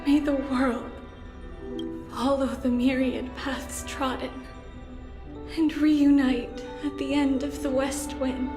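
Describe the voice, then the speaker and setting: A woman playing a solemn, resolute young heroine in a dramatic game cutscene, declaiming in measured phrases. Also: high-pitched, level tone. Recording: echoey, with clear music behind.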